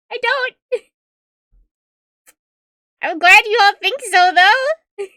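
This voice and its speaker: A woman's voice: very high voice, animated, extremely slow, bright, forceful tone, no echo, studio quality. Giggly tiny-voiced woman streamer. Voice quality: tiny, cute-sounding voice. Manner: giggly, laughs often between remarks; rambling, with fillers and self-corrections. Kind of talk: casual livestream chat with viewers.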